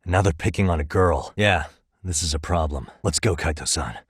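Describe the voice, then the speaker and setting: A man's voice: sits low, moderate pace, husky, dry recording, studio quality. A man playing a wry, easygoing private detective in a video game, speaking in a casual, conversational way. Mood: composed, serious. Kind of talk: video game dialogue voice acting.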